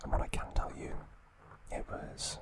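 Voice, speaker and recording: A young man hosting ASMR in a breathy, unvoiced whisper, halting and hushed, close to the mic. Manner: slow, hushed, halting with long pauses. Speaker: host of an ASMR channel. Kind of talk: ASMR, whispered informal trivia talk.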